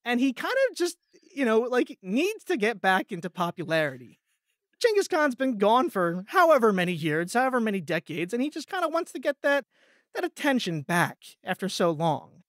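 A man hosting a podcast in casual chat, rambling and halting as he repeats words. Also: very high-pitched, sing-song, no echo, clean studio sound. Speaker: podcast host.

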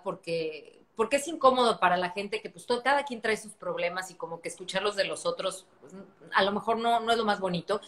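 A Mexican woman in her thirties speaks earnestly over a phone video call from a living room, stopping and restarting her sentences as she talks them through. Her hands move as she speaks. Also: medium pitch. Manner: earnest, animated hand gestures, many restarts. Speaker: actress hosting an Instagram live. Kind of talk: casual video call chat.

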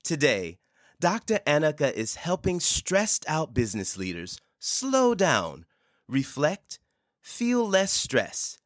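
A man hosting an interview podcast, introducing a guest and asking warm follow-up questions over a remote call. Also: medium pitch, no echo, clean studio sound. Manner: lively intonation, supportive listener. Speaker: podcast host.

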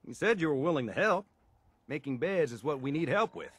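A man's voice: a little high, lively intonation, brisk, a bit bright, husky. A laid-back practical handyman in a game, voice goes up and down a lot, folksy. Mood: easygoing. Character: easygoing, practical male settlement handyman. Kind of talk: video game voice acting.

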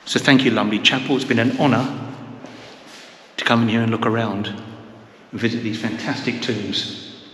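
A man's voice: mid-range voice, steady tone, normal speed, pauses often, a little hiss or hum. A man in his fifties giving an enthusiastic, rambling walking commentary while touring old churches, filmed with a handheld camera at arm's length. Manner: enthusiastic, rambling, softly spoken asides. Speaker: vlogger touring historic churches. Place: inside old stone chapels and churches. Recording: echoey church interior, long reverb.

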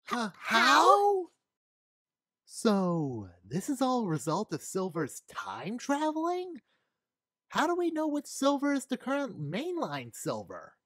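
A woman's voice: fairly high pitch, wildly swooping pitch, takes it slowly, a bit bright, no room sound, studio quality, heavily acted. A woman voicing a laid-back young male hedgehog in a cartoon comic dub. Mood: puzzled.

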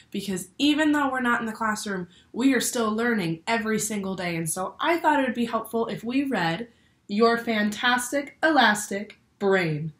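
A woman's voice: mid-range voice, a bit bright. Young woman reading aloud in a roomy space. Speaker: teacher reading aloud to schoolchildren. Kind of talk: read-aloud of a children's picture book. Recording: roomy indoor echo.

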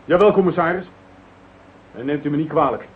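A man's voice: a little high, fairly quick, natural voice. An actor playing a polite, apologetic, formal junior policeman in a radio drama. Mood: dutiful. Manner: deferential, formal. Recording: muffled, noisy old radio recording.

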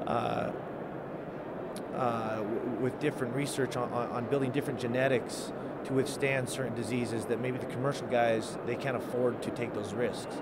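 Earnest middle-aged man in a noisy hall. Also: medium pitch. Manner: earnest, explanatory, many fillers and restarts. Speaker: state legislator. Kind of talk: on-camera interview. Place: large domed capitol rotunda, indoors. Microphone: handheld mic held by interviewer. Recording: loud background noise in a big hall.

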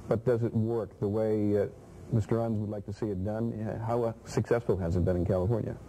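An older man anchoring a local TV news show, reading the lead-in and questioning a reporter in the studio with halting, measured phrasing. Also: lowish voice, level tone, noisy background. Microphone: lapel mic.

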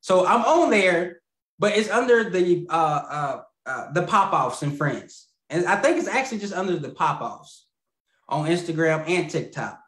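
A man's voice: high voice, animated, unhurried. Man with echoey video-call voice. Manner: rambling, laughs often, trails off quietly. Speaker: podcast guest, puppeteer. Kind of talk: casual interview chat over video call. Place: indoor home room with lamp. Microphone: video call, webcam.

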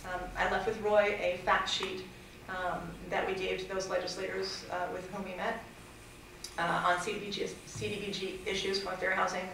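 Casting: middle-aged woman advocate, earnest and hesitant, even tone, distant mic in a reverberant chamber. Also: medium pitch, moderate pace, noisy background. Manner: earnest, hesitant with many fillers and restarts. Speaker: fair housing advocate at public hearing. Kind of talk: public comment at hearing. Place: council chamber. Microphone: desk mic at a distance. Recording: echoey chamber, far from mic.

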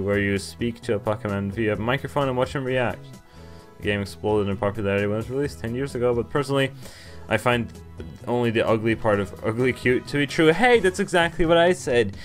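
A young man giving casual game playthrough commentary and reading text aloud, stumbling a little as he goes. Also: on the low side. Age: young adult. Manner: halting, stumbling while reading. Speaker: game streamer. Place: indoor room, webcam recording.

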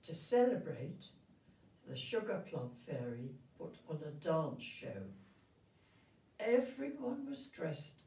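Slow-reading elderly woman, distant and roomy. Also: low-pitched, big pitch swings, gravelly, warm tone. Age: 70s or older. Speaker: retirement village resident reading a story. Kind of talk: storybook read aloud to children. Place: indoor room with festive backdrop. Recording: roomy sound, distant microphone.

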